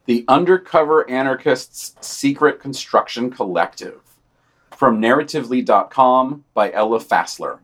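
A man's voice: medium pitch, lively intonation, measured pace, a bit bright. A man co-hosting a podcast, reading scripted news commentary aloud in a wry, sardonic manner. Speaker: podcast co-host.

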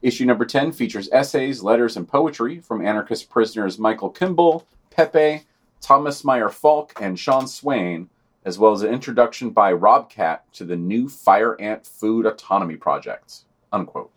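A man's voice: fairly low pitch, animated, normal speed. Wry, dry man reading podcast news.

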